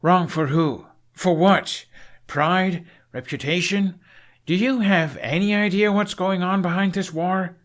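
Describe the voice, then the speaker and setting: A man's voice: high voice, a little slow, a bit bright, voice pushed up. A man voicing a defiant, sarcastic young Viking man in an audiobook, with a snappy manner. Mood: scornful. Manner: sneering, snappy, disdainful.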